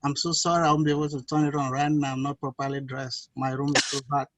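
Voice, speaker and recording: A man's voice: fairly high pitch, level tone, a little fast, background noise. A young man from Nigeria, a student introducing himself on a video call, hesitant and apologetic with many fillers. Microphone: computer mic on video call.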